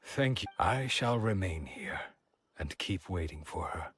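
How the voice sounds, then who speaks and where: A man's voice: low-pitched, lively intonation, fairly bright tone, a little hiss or hum. An actor playing a wistful, resigned middle-aged village man in a video game, musing and patient. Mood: resigned, pensive. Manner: slow, musing, sighing delivery.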